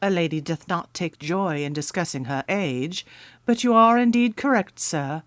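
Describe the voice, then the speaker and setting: A woman's voice: fairly low pitch, lively intonation, moderate pace, no echo, lightly acted. A woman playing a solemn, courtly noblewoman of about thirty in a radio drama, speaking in formal, archaic phrasing. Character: solemn, courtly 31-year-old noblewoman guarding a royal sword.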